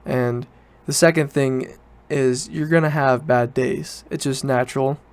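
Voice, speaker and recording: Young guy doing a casual solo podcast, pretty monotone, rambles and backtracks a bit. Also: medium pitch, measured pace. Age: teens to young adult student. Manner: informal, rambling, self-correcting. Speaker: podcast host.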